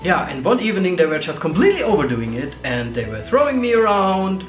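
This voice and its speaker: A young man who did not grow up speaking tells personal stories in an earnest, halting way, often stopping to restart. His voice sits a little high for a man. He is recorded in an echoey room with soft music playing underneath. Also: very animated, muffled recording. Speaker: co-host. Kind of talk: casual chat about relationships.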